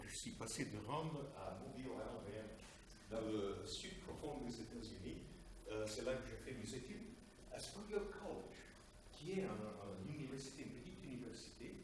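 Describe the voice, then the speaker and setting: A man in his sixties, Italian-born and raised in the United States, explains his research as a chemistry professor, stopping often to search for words. His mid-pitched voice carries a heavy room echo. The mic sits well away from him, with a faint hiss underneath. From Italy, raised in the United States. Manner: hesitant, explanatory, frequent filler pauses. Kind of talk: academic presentation. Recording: echoey room, distant mic, faint noise.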